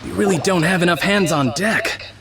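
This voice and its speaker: An actor playing a proud, cheerful street-food cook in a game, brash and confident. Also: male voice, high-pitched, normal speed, noisy background. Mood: boastful. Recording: game audio with loud music behind.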